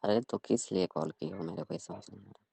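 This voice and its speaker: Young guy chatting casually on a call, deep gravelly voice, short reserved replies, dry sound. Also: a little fast, mellow, no room sound. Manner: reserved, terse. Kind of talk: casual phone conversation.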